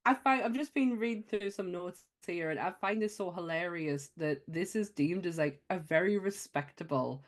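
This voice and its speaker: A woman from the North East of England with a Washington accent, co-hosting a casual podcast, animated and swearing freely. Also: fairly low pitch, normal speed, few pauses. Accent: Washington (Sunderland area) English. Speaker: podcast co-host. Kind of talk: casual chat.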